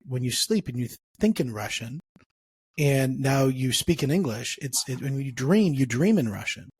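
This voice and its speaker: Male podcast host, husky mid-range voice, dry close sound, loose rambling delivery. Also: medium pitch, a little mellow, no echo. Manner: rambling, restarts sentences, uses analogies. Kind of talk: casual interview chat.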